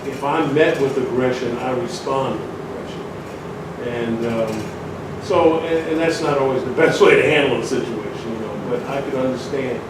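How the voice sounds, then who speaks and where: A man in the audience answering the speaker in a measured, reflective way, with many fillers. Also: medium pitch. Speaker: audience member. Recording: echoey hall, mic from far off, noisy.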